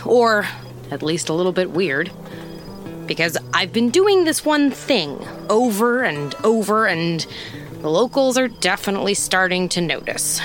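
An actress playing a wry, rattled small-town private detective who narrates in a conversational, casually profane way in an audio drama. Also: female voice, fairly high pitch, a bit bright. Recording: music under the voice.

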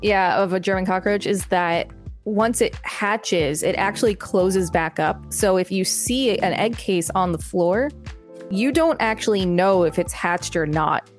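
A woman's voice: medium pitch, measured pace, faint music behind. A woman co-hosting a podcast, reading encyclopedia articles aloud and adding casual commentary.

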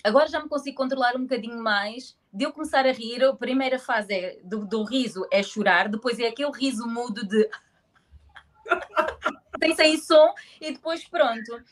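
A woman's voice: fairly high pitch, normal speed, slight background noise. A woman in her forties from Angola who grew up in Portugal, chatting casually and playfully as an interview guest. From born in Angola, grew up in Portugal. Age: 40s. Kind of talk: casual chat.

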